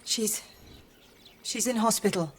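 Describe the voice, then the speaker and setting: A woman's voice: mid-range voice, takes it slowly, slightly rough. An actress playing a polite but sassy young female AI assistant in a video game, efficient and formal with dry sarcasm. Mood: apologetic, subdued.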